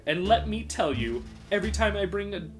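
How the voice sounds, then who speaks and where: A man's voice: high voice, very animated, a little fast, faint music behind, voice pushed up. A man voicing a relentlessly cheerful, rambling wandering minstrel with a sing-song delivery, in a storybook reading. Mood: jolly, rambling.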